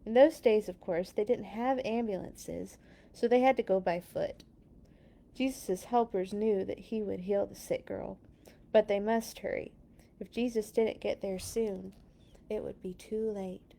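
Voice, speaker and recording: Gravelly, expressive woman storyteller. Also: medium pitch, a little slow. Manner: expressive, with asides to children. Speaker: children's story reader. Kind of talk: children's read-along storytelling.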